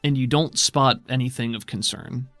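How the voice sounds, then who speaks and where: A man narrating a tabletop role-playing game as game master in a measured, explanatory way. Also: medium pitch, fairly even intonation, a bit bright, no room sound.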